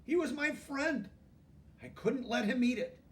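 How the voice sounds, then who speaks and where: Male storybook reader, high-pitched and expressive with pauses for emphasis, recorded at a slight distance. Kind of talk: children's book read-aloud with brief asides.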